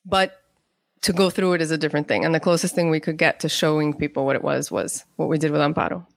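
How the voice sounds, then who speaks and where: A woman with a slightly low voice, recorded close and dry with hardly any room sound. She talks as an interview guest, telling anecdotes in a loose conversational way and slipping into quotes. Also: steady tone, quick pace, creaky, no echo. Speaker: guest, playwright.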